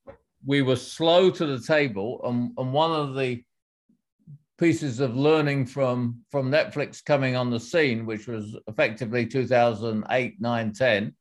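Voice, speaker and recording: Middle-aged guy, ex-exec answering questions, steady and explanatory, restarts a lot. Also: medium pitch. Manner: measured, explanatory, with frequent restarts. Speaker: former executive, author. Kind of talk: interview answers.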